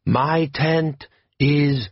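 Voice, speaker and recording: A man voicing a hearty, shrewd desert bandit chief who speaks slow, broken English. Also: fairly high pitch, breathy edge, no echo, dull audio, voice pushed up, full character voice.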